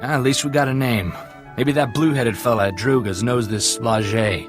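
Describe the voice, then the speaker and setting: An actor playing a jaunty, loyal gunslinger sidekick who wisecracks in a video game. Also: male voice, medium pitch, moderate pace, natural voice. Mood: amused, jaunty. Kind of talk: video game character acting. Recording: music under the voice.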